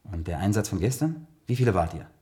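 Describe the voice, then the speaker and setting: A male actor playing a persistent, calmly authoritative biographer and interrogator in a radio play. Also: low voice, fast. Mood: insistent. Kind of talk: German science-fiction radio play acting.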